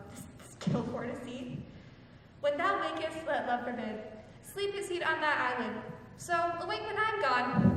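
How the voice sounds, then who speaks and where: A woman playing a hushed, mischievous fairy sprite. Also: slight background noise, exaggerated voice. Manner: hushed and wondering, with sudden high gasps. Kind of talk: Shakespearean stage acting. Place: large hall or theatre stage. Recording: distant, echoey stage recording in a large hall.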